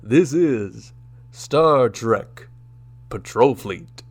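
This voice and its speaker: A man's voice: medium pitch, expressive, very slow, full character voice. A man playing a portentous announcer narrating an old-time space adventure radio serial. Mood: grand, rousing. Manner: dramatic, portentous delivery. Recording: loud music under the voice. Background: music.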